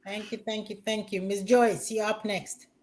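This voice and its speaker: A woman's voice: medium pitch, level tone, normal speed, a crowd in the background. A woman hosting a live-streamed panel chat over a video call, welcoming and upbeat. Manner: warm, welcoming and upbeat, repeats thank-yous. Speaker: host.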